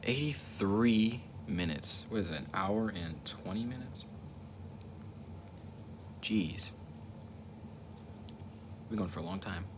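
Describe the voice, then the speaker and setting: A young man with a very deep, low voice talks casually while painting, with a stutter. He rambles and reflects, repeating words and circling back to restart his thoughts. Steady background noise runs under the recording. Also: slow pace, pauses often. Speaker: livestreaming painter. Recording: noticeable ambient noise.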